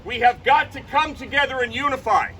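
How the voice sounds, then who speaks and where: A man delivers a fiery outdoor rally speech, punching his points and shouting slogans. His voice sits high and very bright. He is picked up from a distance, with faint crowd and traffic noise around him. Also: brisk. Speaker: rally speaker. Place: outdoor rally by a state capitol.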